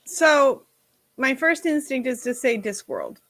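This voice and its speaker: A woman talking as a guest in a casual stream chat, frank and animated, with casual swearing. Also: sits high, unhurried, no echo.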